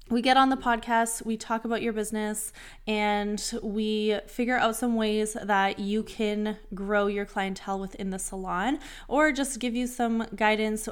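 A woman hosting a podcast, upbeat and casual, leaning on repeated words like "really". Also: medium pitch. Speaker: podcast host.

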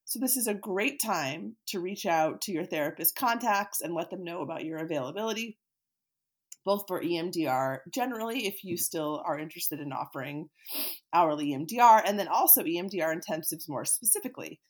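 A woman's voice: fairly low pitch, a little fast, husky. Scratchy-voiced woman podcaster with a cold. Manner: chatty, animated, laughs easily, occasional fillers. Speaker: podcast host and therapist. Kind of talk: solo podcast talk, teaching.

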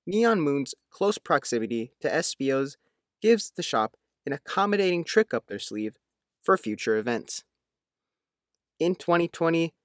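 A man's voice: medium pitch, fairly bright tone, dry recording, studio quality. A man reading a written local news feature in a scripted narration style.

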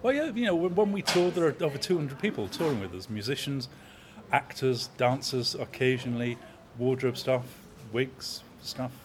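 A man in his sixties, an opera company manager, talking in a measured, anecdotal way in an interview. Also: medium pitch, animated. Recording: crowd chatter in background.

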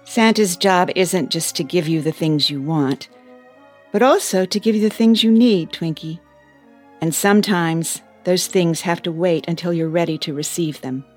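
An actress playing a patient, caring elf personnel boss in an audio play, measured and gently wry. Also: female voice, fairly low pitch, soft background music, lightly acted. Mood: warm, reassuring.

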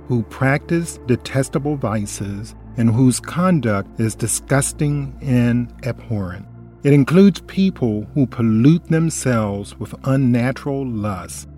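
A man with a mellow, darker tone reads a scripted Bible study as if on radio. His delivery is earnest and admonishing, pressing the listener with pointed questions. Soft music plays quietly underneath. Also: mid-range voice. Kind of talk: scripted religious narration.

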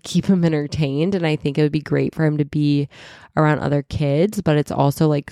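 A woman in her thirties hosting a casual solo podcast, chatty and full of "like"s, a little stuffy and raspy from a cold. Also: low voice, warm tone, no room sound, studio quality. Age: 30s. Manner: chatty, many "like"s and self-corrections. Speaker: podcast host and wellness coach.

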